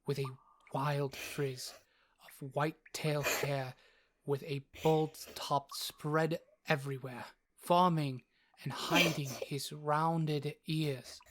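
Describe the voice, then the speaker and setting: Fantasy narrator, androgynous mid-range voice, slow storybook delivery, faint noise behind. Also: medium pitch, steady tone, a bit bright.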